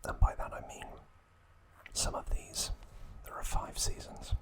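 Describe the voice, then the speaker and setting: Male ASMR host. Unvoiced, breathy whisper throughout, very unhurried phrasing with pauses, close on the mic. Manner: slow, hushed, deliberate phrasing with pauses. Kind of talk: ASMR, whispered trivia and show-and-tell.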